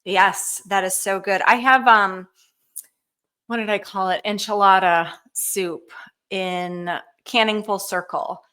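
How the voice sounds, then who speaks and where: A woman hosting a live canning Q&A, chatty and enthusiastic, with frequent ums and a slightly hoarse voice. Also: mid-range voice, steady tone, slow pace, sharp tone. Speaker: canning cookbook author hosting a live show. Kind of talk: casual live Q&A cooking demo.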